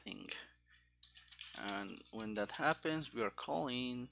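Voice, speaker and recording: A man thinking aloud in a casual, halting mutter as he programs, talking partly to himself. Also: medium pitch, animated, vocal fry, fairly bright tone. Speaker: programmer narrating live coding. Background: computer keyboard typing and clicking.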